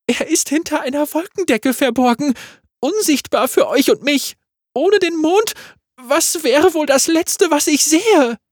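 A man voicing a frightened young actress who speaks in a high, stammering, theatrical way, with a husky tone. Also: a little slow, no echo, studio quality. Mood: panicked theatrical. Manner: high, stammering, grand stage delivery.

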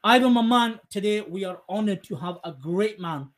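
A man with a fairly high voice speaks as a podcast host. He is effusive and emphatic, taking his time with wordy, conversational questions and remarks. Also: lively intonation, normal speed, a little hiss or hum. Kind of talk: conversational interviewing.

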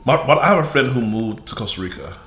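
A man in his forties whose first language is Yoruba, raised in Chicago, chatting as a podcast guest in a lively, joking way with many fillers. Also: medium pitch, fast. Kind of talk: casual chat. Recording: echoey room with faint noise.

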